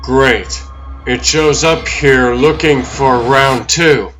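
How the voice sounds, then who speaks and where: A man acts out an earnest young superhero in his reporter guise for a comic dub. He speaks slowly and wryly, as if thinking aloud, at a medium pitch. Music plays behind him. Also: close to an everyday voice, poor audio. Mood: focused, determined.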